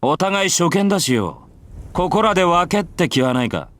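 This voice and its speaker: A man playing a cocky, battle-hungry warrior in a Japanese visual novel, with rough, taunting, informal speech. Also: high-pitched, sing-song, quick pace, fairly bright tone. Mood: casual, coaxing.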